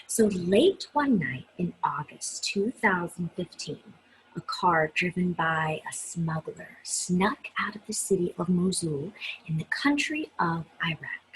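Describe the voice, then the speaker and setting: A woman with a breathy voice reads a story aloud expressively, speaking directly to young listeners and adding her own asides. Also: on the low side, fairly bright tone, slight background noise, low-quality recording. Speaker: children's story reader.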